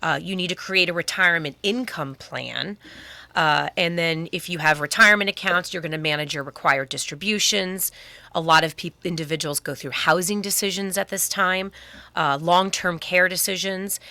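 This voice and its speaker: An American woman gives expert answers in a conversational interview while getting over a sickness. Her voice is slightly low and bright, with a close, dry studio sound. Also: normal speed, no room sound. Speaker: guest wealth advisor.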